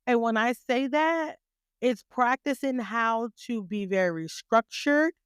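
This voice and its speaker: A woman podcast host gives a motivational talk and presses her listeners with question after question. She speaks slowly and deliberately, and her slightly high voice is smooth and clear. The recording is dry and close, and her voice sounds stern when she means business. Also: no echo. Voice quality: stern but graceful voice. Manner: emphatic, rhetorical questions, repeats phrases for emphasis.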